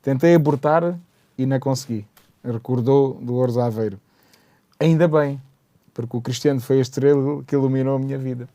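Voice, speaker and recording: A man's voice: mid-range voice, mellow, no echo. A man moderating a religious discussion panel, chiming in with frequent agreement and reading a short passage aloud. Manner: agreeing interjections, measured.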